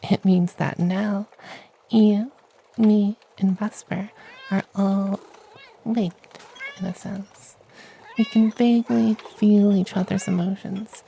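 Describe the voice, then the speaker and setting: A performer voicing a kindly, doting femboy witch in a hushed, affectionate ASMR roleplay. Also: medium pitch, vocal fry, slight background noise, low-quality recording.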